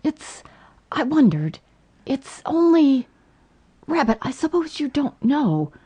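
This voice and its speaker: A man voicing an earnest young boy in a children's storybook, with a husky tone. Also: extremely high pitch, sing-song, slow, warm tone, voice pushed up, exaggerated voice. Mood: hesitant curiosity.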